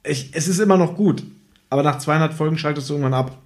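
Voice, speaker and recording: A man with a slightly high-pitched voice talks as a podcast co-host. He casually reviews and retells, as if working through his own notes. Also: quick pace.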